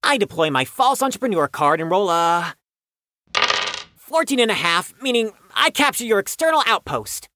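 A man's voice: sits high, big pitch swings, normal speed, pushed and bright, slight background noise, heavily acted. A man playing a bitter, wounded young man with formal, precise wording and a defensive manner in an audio drama. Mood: triumphant.

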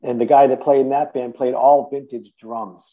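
A man's voice: medium pitch, steady tone, moderate pace. A man in his fifties from the Boston area, telling stories as an interview guest in a rambling, casual way with many restarts. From Boston area, USA. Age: 50s. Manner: rambling storytelling with many restarts and "you know". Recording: tinny phone-like line.

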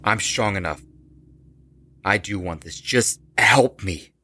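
A man's voice: fairly low pitch, slow pace, sharp tone, heavily acted. A man playing an anxious, obsessed rock musician turned killer in an audio horror drama, intense and desperate. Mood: desperate pleading.

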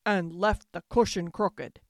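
A woman voicing a great big father bear in a storybook tale, gruff, growling, loud and indignant. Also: lowish voice, steady tone, takes it slowly, no echo, clean studio sound, pitched below the performer's own voice.

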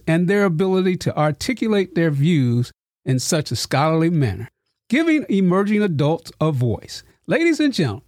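A man's voice: fairly high pitch, darkish tone, dry recording, studio quality. A man hosting a podcast interview with his students, enthusiastic and formal in his introductions. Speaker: podcast host and instructor.

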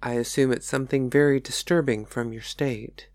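A woman reading aloud, voicing a gentle, compassionate male frontier pastor in a soft, calm, reassuring way. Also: extremely low pitch, normal speed, dry recording, voice pushed down. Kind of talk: reading her own novel aloud.

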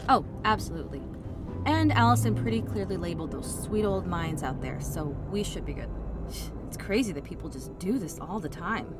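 A woman's voice: on the low side, lively intonation, moderate pace, close to an everyday voice. A woman playing a brash, eager, foul-mouthed young investigator in an audio drama, with a slangy, sarcastic, pushy delivery. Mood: casual, musing. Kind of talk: full-cast audio drama acting. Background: music.

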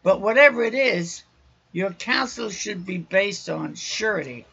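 Man, raspy and husky, loud raucous podcast preacher, muffled lo-fi recording. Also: high voice, lively intonation, measured pace. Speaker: podcast host.

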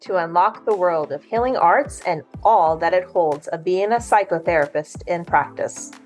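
A woman reading a scripted podcast intro in a measured, deliberate way. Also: on the low side, darkish tone. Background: faint music.

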